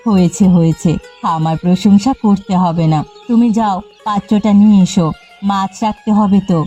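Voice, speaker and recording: A woman's voice: mid-range voice, fairly even intonation, moderate pace, dark tone, lightly acted. A woman voicing a kind, firm eldest sister, a village fisherwoman in a cartoon. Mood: patient, generous. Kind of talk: Bengali cartoon dialogue. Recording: slightly muffled audio with background music. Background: background music.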